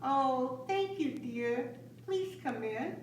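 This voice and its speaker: A woman's voice: high-pitched, animated, slow. Kindly elderly grandmother voiced by a woman, puppet theatre, distant and heavily echoing. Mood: frail, welcoming. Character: frail, kindly old grandmother. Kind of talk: children's puppet show acting. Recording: very echoey, distant mic, faint noise.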